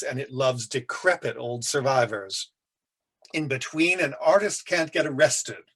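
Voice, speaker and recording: A flamboyant, catty older man as a male author reads him aloud, holding court in a camp, theatrical way. The voice is husky and sits on the high side, with grand, drawn-out emphasis on choice words. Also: a bit bright. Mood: scornful, lecturing.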